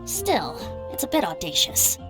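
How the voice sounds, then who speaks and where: A woman's voice: mid-range voice, huge pitch swings, a little slow. A woman voicing a playful, youthful male bard in a video game, theatrical and cheeky, with flowery mock-grand phrasing. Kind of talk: video game character acting. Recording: music behind the voice.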